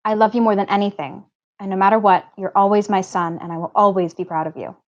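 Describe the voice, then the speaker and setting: A woman performs a mother in her forties, loving, protective and firm. She reads her part from a script at a table read. The recording is dull and lo-fi, with a medium-pitched voice. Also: steady tone, quick pace, close to an everyday voice.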